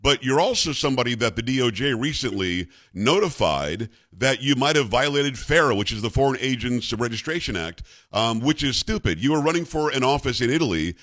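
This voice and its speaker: A man's voice: mid-range voice, expressive, fairly quick, hardly any pauses, fairly bright tone. A man hosting a talk show, interviewing a guest in a friendly, conversational way with some stumbling repeats. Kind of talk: casual interview.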